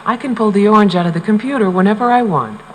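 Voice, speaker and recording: An actress playing a helpful young laser scientist, explaining things on a storybook cassette. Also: female voice, medium pitch, fast talker, natural voice, rough recording. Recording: faint noise, old cassette transfer.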